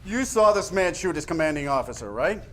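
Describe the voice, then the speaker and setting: An actor playing an aggressive, accusatory interrogator in a game cutscene. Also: male voice, on the high side, very animated, a little fast, background noise. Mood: accusing. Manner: accusatory, pressing.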